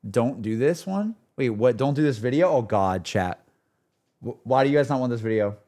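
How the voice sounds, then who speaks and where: A man's voice: mid-range voice, lively intonation, moderate pace, mellow. A man livestreaming in a casual, slangy, repetitive way, talking to his chat and reacting off the cuff. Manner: slangy, repetitive, full of "like", "bro" and "dude". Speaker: streamer.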